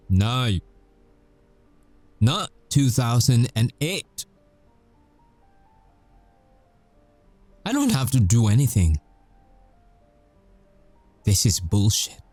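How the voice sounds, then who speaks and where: A man playing a sardonic, volatile supernatural prisoner in an audio roleplay, mocking and bitter, swearing and taunting with dark chuckles. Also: mid-range voice, no echo. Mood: defiant. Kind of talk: audio roleplay ASMR acting.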